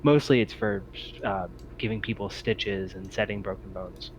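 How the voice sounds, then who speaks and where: A man's voice: on the low side, normal speed, rough recording. A man acting as game master, improvising narration and explaining rules in casual talk. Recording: faint background noise.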